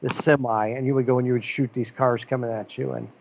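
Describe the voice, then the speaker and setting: A man's voice: medium pitch, fairly even intonation, fast, creaky, slight background noise. A man co-hosting a casual podcast, chatting and telling long, loose stories. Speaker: podcast co-host. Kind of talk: casual chat. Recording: dull lo-fi mic.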